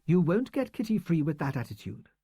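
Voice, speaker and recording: A man's voice: fairly high pitch, very animated, a little fast, dark tone, slightly rough, no room sound, clean studio sound, voice pushed up. A man reading an audiobook, putting on a proud, bitter older female schoolteacher with a clipped, indignant manner.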